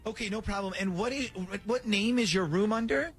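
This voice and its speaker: A man hosting a morning radio show, talking in an animated, conversational way during a prank call segment. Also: high voice, fairly quick, husky, faint music behind.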